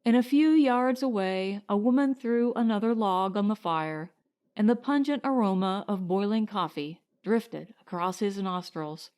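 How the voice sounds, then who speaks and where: A woman narrating a short story as an audiobook, in a measured, deliberate storytelling manner. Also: mid-range voice, a little mellow.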